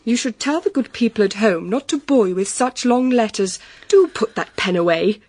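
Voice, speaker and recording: Spoiled young English society belle, period audio drama: high voice, teasing, imperious, affected, dry sound. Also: female voice, animated, normal speed, a little mellow, no room sound. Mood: coaxing, vain. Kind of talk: period radio drama acting.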